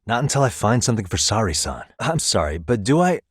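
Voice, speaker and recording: A man's voice: lowish voice, big pitch swings, fast, slightly rough, no room sound. A male actor with an American accent playing a wry, easygoing private detective in a video game, talking in a dry, casual, persuasive way. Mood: wry, defensive. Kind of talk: scripted game dialogue, conversational.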